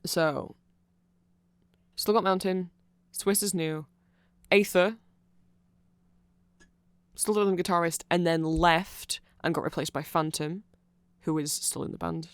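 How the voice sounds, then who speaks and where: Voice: medium pitch, fairly even intonation, takes it slowly, long pauses, smooth, no room sound. A person giving an informal, rambling ASMR-style explanation full of asides, close to the microphone. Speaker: ASMR audio creator.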